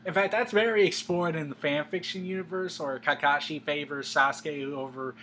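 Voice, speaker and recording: A man's voice: a little high, normal speed, sharp tone. A young man giving firm opinions in a casual anime chat, often restarting his sentences. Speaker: co-host. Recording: faint background hum.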